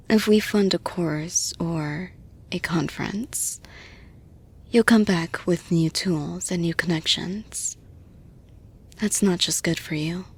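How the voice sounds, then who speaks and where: A caring female boss played by a woman in an intimate audio roleplay, persuading gently. Her voice is soft, rather low and very breathy, often slipping into a whisper. She speaks very slowly and deliberately, with steady reassurance. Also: exaggerated voice. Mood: encouraging, reassuring.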